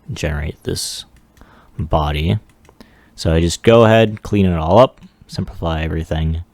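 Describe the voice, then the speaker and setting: A man with a very low voice full of vocal fry narrates a casual screen-recorded demo. He is offhand and halting, letting asides trail into a mumble. The recording is close and dry, with hardly any room sound. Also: no room sound. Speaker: 3D animation hobbyist presenting a demo.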